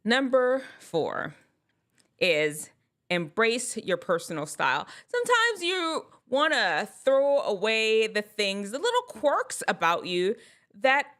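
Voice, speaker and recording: A woman with a mixed Jamaican and American accent, talking casually to camera with strong enunciation and an animated, chatty way of giving advice. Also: a little high, slow pace.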